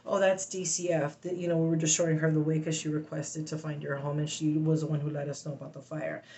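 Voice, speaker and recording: A woman venting in a casual personal story, blunt and sweary, with halting, emphatic, agitated speech broken by sudden vocal tics. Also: low-pitched, level tone, darkish tone, slight background noise. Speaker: vlogger telling a personal story.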